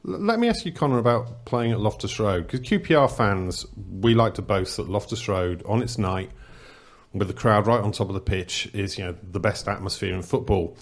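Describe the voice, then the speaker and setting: A man on a podcast panel asks long, articulate questions. His voice is fairly low and his tone is even and conversational. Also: normal speed. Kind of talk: casual interview questions.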